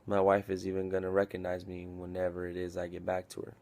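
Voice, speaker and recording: Man acting a weary, gravelly army captain. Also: low-pitched, monotone, fast, a little mellow. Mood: weary, reflective. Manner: subdued, hesitant, confessional. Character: weary, reflective army captain, former schoolteacher, at war. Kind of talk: film drama monologue.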